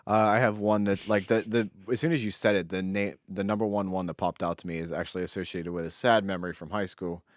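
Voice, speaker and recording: A man's voice: sits low, fairly even intonation, fast talker, hardly any pauses, no echo, clean studio sound. A young adult man co-hosting a podcast, reminiscing in casual, slangy banter. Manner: informal, slangy. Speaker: podcast co-host.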